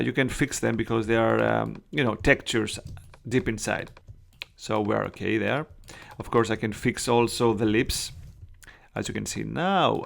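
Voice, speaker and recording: A man from Greece with a Greek accent, a non-native English speaker, hosting a casual software tutorial, chatty and full of "uh" and "you know" fillers. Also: mid-range voice, vocal fry. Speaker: Photoshop tutorial host. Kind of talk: casual screen-recorded tutorial.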